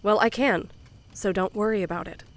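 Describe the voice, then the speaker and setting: A woman's voice: mid-range voice, moderate pace, natural voice. A woman playing a guarded, nonchalant goth teenage girl with a cool, dismissive manner in an audio drama.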